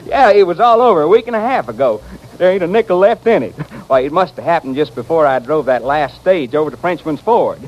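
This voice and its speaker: High-pitched gossipy frontier stagecoach man played by a man. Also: expressive, brisk, natural voice. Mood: amused gossip. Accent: American. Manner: rustic, chatty, stammering. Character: gossipy, cheerful stagecoach man in the Old West. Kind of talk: 1950s radio western acting. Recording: muffled, noisy old radio recording.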